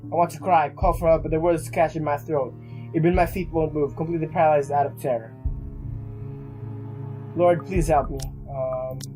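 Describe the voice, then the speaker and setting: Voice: mid-range voice, rough recording. A let's player reading a visual novel aloud in a dramatic, stumbling way and reacting along the way. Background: game music.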